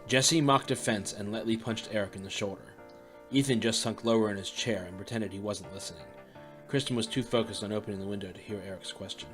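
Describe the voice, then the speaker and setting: A man's voice: lowish voice, fast, fairly bright tone. A man reading scripted story narration as the narrator of an audio drama. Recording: music under the narration. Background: music.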